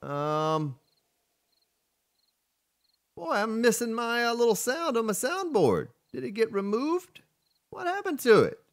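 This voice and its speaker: A man's voice: extremely high pitch, huge pitch swings, slow, pauses often. A man from Florida chatting casually on a live game stream, answering viewers between gameplay remarks. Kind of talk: casual live-stream chat while playing.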